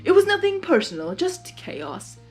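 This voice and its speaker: A woman's voice: extremely high pitch, huge pitch swings, normal speed, a little mellow, soft background music, pitched above the performer's own voice, exaggerated voice. A woman voicing a petulant, self-important male chaos creature in a story, melodramatic and exasperated. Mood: cocky.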